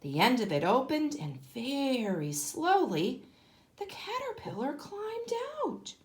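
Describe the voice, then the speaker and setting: A woman teacher reads a story aloud for young children. Her delivery is slow and highly animated, with deliberate emphasis on key words. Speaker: kindergarten teacher.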